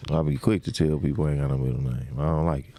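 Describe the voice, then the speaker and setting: A man co-hosting a podcast in casual chat, animated and quick to laugh. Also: low-pitched, creaky, breathy edge, no echo. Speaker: podcast co-host.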